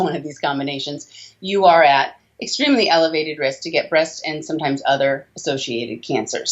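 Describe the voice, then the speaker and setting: A woman with a fairly low voice explains things emphatically, like a doctor being interviewed. She punctuates her points with short check-in questions. The sound is a little dull, as on a video call. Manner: emphatic, rhetorical questions with tag checks like "okay?" and "right?". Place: small indoor room. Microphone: video call, computer at desk distance.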